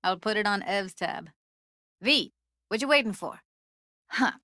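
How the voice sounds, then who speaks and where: A woman's voice: mid-range voice, animated, measured pace, sharp tone, dry recording, studio quality. A woman playing a guarded, streetwise young technician in a video game, speaking in a casual, slangy, blunt way. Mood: brisk, instructive. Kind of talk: naturalistic video game voice acting.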